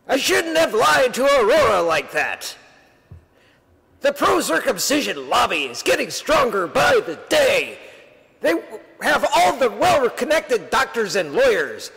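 An earnest comic-book superhero, high and forceful. The voice is rough and strained, with bombastic, melodramatic swings. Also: male voice, sing-song, measured pace, full character voice, rough recording. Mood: grave resolve. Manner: hammy, melodramatic.

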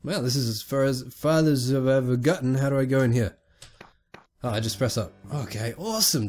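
A sick young man giving casual, excitable let's play commentary on a retro game, swearing often and talking to the characters on screen. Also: mid-range voice. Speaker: gaming YouTuber.

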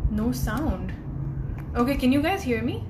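A woman's voice: on the high side, sing-song, unhurried, noisy background. A woman, hosting a casual live interview from a room at home on a phone. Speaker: host and interviewer. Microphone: phone at arm's length.

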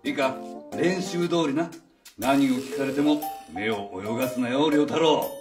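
An actor playing a slovenly, shifty father in his forties in a radio drama, casual and husky. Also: medium pitch, measured pace, over music, natural voice. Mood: gruff, conspiratorial. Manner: casual, gruff with son, ingratiating with visitor. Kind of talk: Japanese radio drama acting.

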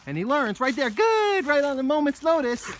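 A man's voice: very high voice, very animated, normal speed, fairly bright tone, a little hiss or hum. A man with an American accent, a dog trainer explaining obedience steps while walking his dog on a hiking trail and calling commands to it. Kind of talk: outdoor training demonstration.

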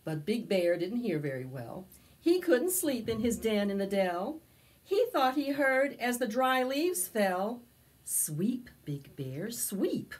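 An older woman hosting preschool storytime, reading a picture book aloud with expressive, drawn-out phrasing. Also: fairly high pitch. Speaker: library preschool storytime host. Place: small indoor space with decorated backdrop.

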